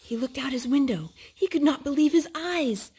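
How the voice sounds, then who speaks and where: A woman reading a picture book aloud to a young child, gently asking him questions between pages. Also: high-pitched, lively intonation, normal speed. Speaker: storyteller.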